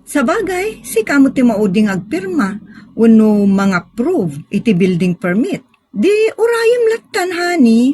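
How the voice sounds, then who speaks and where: A woman's voice: a little high, big pitch swings, measured pace, darkish tone. An actress plays a concerned wife in a radio drama. She talks sweetly and conversationally to her husband. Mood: gossipy, coaxing.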